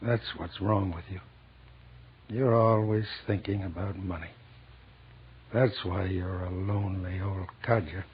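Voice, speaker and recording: An older actor in an old-time radio drama, playing a lonely, money-minded old store owner who talks in a brisk, blustery way. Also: male voice, low voice, steady tone. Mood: musing. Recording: dull vintage 1940s radio recording.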